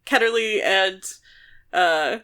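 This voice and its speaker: A woman's voice: mid-range voice, slow, bright, forceful tone. A woman co-hosting a podcast, talking casually about a book in a chatty, explanatory and emphatic way. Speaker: podcast co-host.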